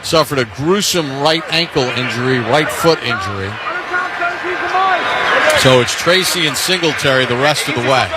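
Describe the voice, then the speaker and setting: A man's voice: mid-range voice, moderate pace, very noisy. A man calling play-by-play in live radio football commentary. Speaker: play-by-play announcer. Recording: loud stadium ambience behind commentary.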